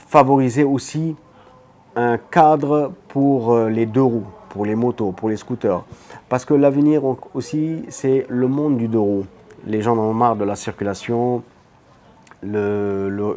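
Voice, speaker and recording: A man with a Senegalese French accent speaks in an earnest, emphatic way, often repeating words as he makes his point. His voice is mellow and warm, pitched in the middle range. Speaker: guest, motorbike shop owner. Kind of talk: interview answers.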